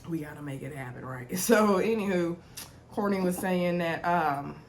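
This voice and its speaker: A woman's voice: on the low side, moderate pace, a little hiss or hum. A young woman with a sore throat and congestion chatting casually to her viewers as she recaps a reality TV episode, with lots of ums and y'alls. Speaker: TV recap host.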